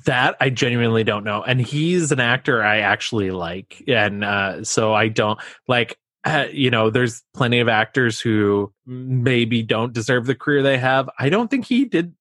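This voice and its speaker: A man with a Midwestern American accent co-hosting a casual film podcast, talking in a halting, restarting way. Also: medium pitch, a bit bright, no room sound. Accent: generic Midwestern American. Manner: hesitant, lots of restarts, laughs. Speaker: podcast co-host.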